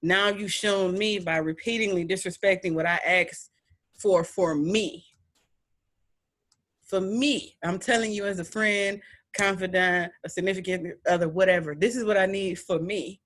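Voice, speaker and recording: A young woman with a deep voice hosting a podcast, chatting in an animated, emphatic way with playful repetition. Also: unhurried. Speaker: podcast host. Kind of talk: casual chat.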